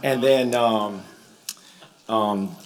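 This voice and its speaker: A man from the with a slightly hoarse voice, leading a congregation in prayer in a folksy, halting way with many ums. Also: on the low side. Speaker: church member leading prayer. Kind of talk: spoken prayer and announcements. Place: church sanctuary.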